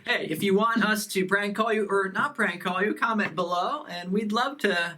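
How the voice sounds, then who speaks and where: A man's voice: high voice, moderate pace, bright, forceful tone. A man hosting a youth ministry comedy show in casual, buddy-like banter.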